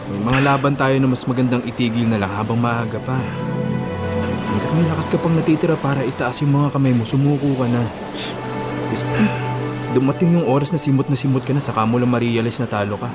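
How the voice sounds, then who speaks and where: A man's voice: mid-range voice, a little fast, lightly acted. A man playing a blunt, exasperated but loyal buddy in a colloquial Filipino radio drama. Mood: grave advising. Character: exasperated loyal male buddy urging friend to give up. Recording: loud music bed behind dialogue.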